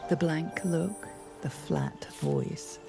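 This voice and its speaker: A woman playing the watchful narrator of a fantasy game, telling it in a measured, ominous way. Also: low-pitched, wildly swooping pitch, vocal fry, full character voice. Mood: grave, intrigued. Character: grave, watchful female storyteller of a fantasy game. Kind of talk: dramatic second-person scripted narration. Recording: music behind the voice.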